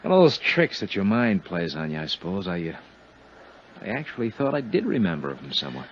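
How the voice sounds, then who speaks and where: An actor playing a sheepish, smitten hotel manager in 1950s radio drama, speaking in a halting, self-mocking way. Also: male voice, deep voice, big pitch swings. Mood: rueful. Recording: muffled, noisy 1950s radio recording.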